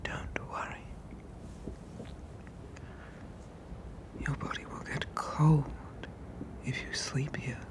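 A performer voicing a tender, possessive sea monster father in a soothing, breathy whispered ASMR roleplay. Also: medium pitch, flat delivery, close to an everyday voice. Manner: soothing, hushing, slow and deliberate.

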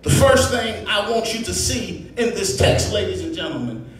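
A man's voice: sits high, expressive, slight background noise. A man preaching a sermon in an impassioned, oratorical way, using rhetorical repetition and addressing his congregation. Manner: impassioned, oratorical, builds from measured phrases to shouts. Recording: echoey hall, distant microphone.

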